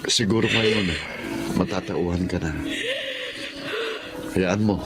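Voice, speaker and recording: A man's voice: low-pitched, slow pace, crowd noise. An actor playing a stern but caring father and weary ex-partner in an emotional drama, firm and fatherly. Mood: admonishing. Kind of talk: emotional Filipino drama acting.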